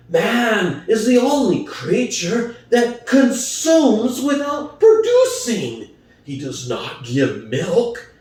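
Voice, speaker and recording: An aged boar, performed by a man, speaks like a revolutionary orator, his voice leaping high and low as he drives each point home. He speaks slowly and deliberately. The reading comes from a far-off mic in a very echoey room. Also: a little mellow, heavily acted. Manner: impassioned speechmaking, rhetorical questions, swelling emphasis. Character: old prize boar, wise, rousing revolutionary orator. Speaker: reader. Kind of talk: dramatic audiobook reading.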